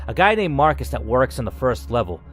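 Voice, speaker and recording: A man's voice: mid-range voice, big pitch swings, a little fast, fairly bright tone. A man voicing an anxious, determined woman survivor in a story game. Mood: uneasy.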